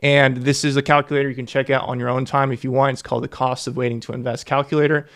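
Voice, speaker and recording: A man's voice: medium pitch, level tone, quick pace. Young guy going over investing math, earnest, repeats the big numbers, regular mid voice. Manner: earnest, repeats key figures for emphasis. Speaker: financial educator. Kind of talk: explainer to camera.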